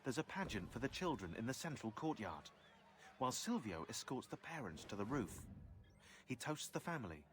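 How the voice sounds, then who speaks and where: A sardonic young historian, voiced by an actor, narrating game lore with dry sarcasm. His pitch rises and falls freely. He has a plain mid-range voice. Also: sing-song, moderate pace, slight background noise, lightly acted. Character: wry, sardonic male historian, young adult.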